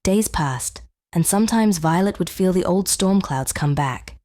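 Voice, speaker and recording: A woman with a fairly low voice narrates a children's storybook. Her reading is gentle and measured, with expressive phrasing, recorded dry and close. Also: no room sound. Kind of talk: scripted bedtime storybook narration.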